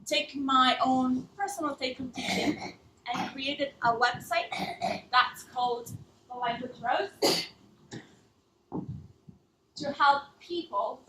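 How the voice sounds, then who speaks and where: A woman's voice: high voice, bright, forceful tone, a little hiss or hum. A woman in her thirties from Italy, speaking English with an Italian accent, giving an earnest storytelling talk as a language teacher, with small non-native slips. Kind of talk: storytelling talk to a live audience. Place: theatre stage. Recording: echoey hall, mic at a distance.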